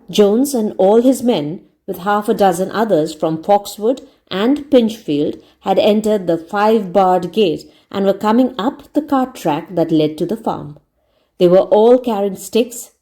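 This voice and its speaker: A woman reading a story aloud as a teacher, in a deliberate, explanatory manner. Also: mid-range voice, mellow. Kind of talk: read-aloud narration with word explanations.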